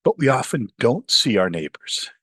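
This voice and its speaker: A man's voice: medium pitch, sing-song, normal speed, bright, forceful tone, slightly rough, no room sound. A middle-aged man from Pakistan, an author and professor, talking animatedly in an interview, often saying "you know" and acting out the voices of others. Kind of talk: interview conversation.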